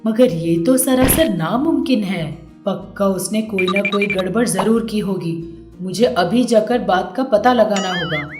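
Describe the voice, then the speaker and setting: Story role: shrewd village mother-in-law acted by a woman, mid-pitched, emphatic and scolding, roomy echo, faint music behind. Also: unhurried, close to an everyday voice. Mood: suspicious, scolding.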